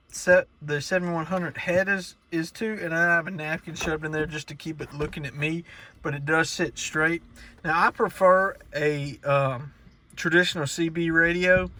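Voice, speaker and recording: A man's voice: fairly high pitch, fairly bright tone, slight background noise. A man giving a casual, hands-on walkthrough as a hobbyist, explaining things in a plainspoken, practical way. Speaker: radio hobbyist presenting an install walkthrough.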